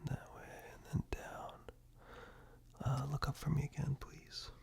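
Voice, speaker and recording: Guy doing asmr roleplay, whispery n gravelly, gentle and slow, right up on the mic. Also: on the high side, flat delivery. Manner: gentle, reassuring, unhurried. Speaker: ASMR performer. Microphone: close binaural microphone.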